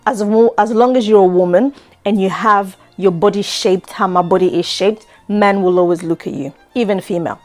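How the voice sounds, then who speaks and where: Middle-aged woman host, earnest life-coach talk, mid pitch, soft music bed. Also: normal speed, few pauses. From Democratic Republic of Congo. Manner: earnest, repeats words, frequent "you know". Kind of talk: talk-show monologue.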